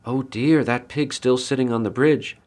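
Man as a soft, earnest little storybook girl. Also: medium pitch, normal speed, close to an everyday voice. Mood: wary. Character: small, hopeful peasant girl.

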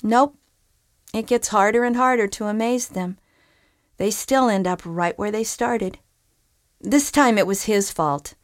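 A woman's voice: mid-range voice, a little slow, pauses often, no room sound, clean studio sound, pitched above the performer's own voice, lightly acted. Woman voicing a sassy, blunt enchanted groundhog. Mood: weary. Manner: sarcastic, scolding.

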